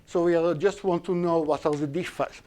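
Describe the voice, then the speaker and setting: A male university lecturer, a Hebrew speaker working through an algorithm in English. His voice sits a little high yet sounds mellow and soft-edged. He explains step by step, pausing to restart and correct himself. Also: steady tone. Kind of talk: live-streamed algorithms lecture.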